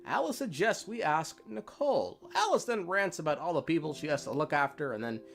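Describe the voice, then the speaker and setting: A man's voice: a little high, big pitch swings, brisk, gravelly, a bit bright. A man narrating a comedic video game lore recap in an irreverent, sweary, slangy way. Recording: music behind narration.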